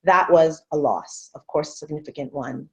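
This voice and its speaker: A woman in her thirties from Canada giving an earnest, measured webinar talk as a guest speaker over a video call. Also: low voice. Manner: earnest, measured, repeats phrases for emphasis. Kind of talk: webinar presentation. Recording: muffled video call audio.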